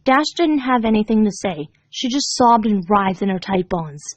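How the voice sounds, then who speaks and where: Animated woman reading a dramatic story. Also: medium pitch, normal speed, dry recording, lo-fi sound. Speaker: fan fiction reader.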